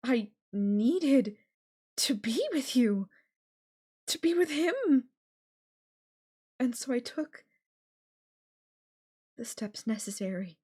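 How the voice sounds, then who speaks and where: A woman acting a slow-talking, obsessive yandere girlfriend. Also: fairly high pitch, animated, mellow, husky, full character voice. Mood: desperate, defensive. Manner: sweetly condescending, coaxing, threatening, with lingering pauses. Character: obsessive, menacing yandere girlfriend holding her lover captive. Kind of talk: girlfriend audio roleplay, intimate one-sided dialogue.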